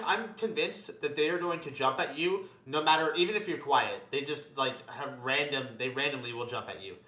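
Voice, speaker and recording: Bright-voiced man swearing freely, roomy echo. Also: on the high side. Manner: profane, emphatic. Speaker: host. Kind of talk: casual chat ranking video game monsters.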